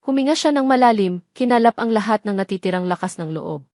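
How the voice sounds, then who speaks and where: A woman narrates a romance audio drama in a medium-pitched voice. She tells it in short, measured, sentimental phrases. The sound is very dry and close. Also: lively intonation, a little mellow, no echo.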